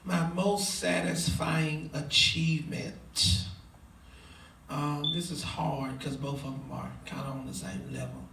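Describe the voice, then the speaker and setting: A young man in his twenties answers interview questions slowly and hesitantly, filling his pauses and laughing softly. His voice has a gravelly edge and sits a little high. He is recorded from a distance in an echoey room with a faint hiss behind him. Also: fairly even intonation. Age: 20s. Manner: hesitant, many fillers, soft laughs. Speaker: interviewee, preacher. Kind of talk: casual Q&A interview. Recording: echoey room, far from mic.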